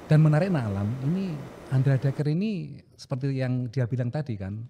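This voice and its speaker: A man's voice: mid-range voice, expressive, fairly quick, warm tone, slight background noise. A man with an Indonesian accent co-hosting a casual interview on location. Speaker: co-host interviewer.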